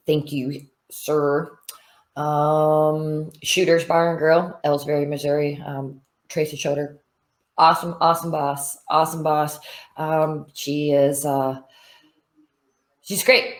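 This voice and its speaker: A woman in her thirties, a fighter, talking frankly in a casual interview, swearing freely and full of fillers. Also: sits low. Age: 30s. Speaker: bare knuckle fighter.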